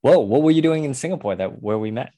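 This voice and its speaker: A man's voice: mid-range voice, animated, fast talker, dark tone. A man hosting a podcast, asking questions and reacting with enthusiasm. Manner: enthusiastic, encouraging interjections. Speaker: podcast host. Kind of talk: podcast interview.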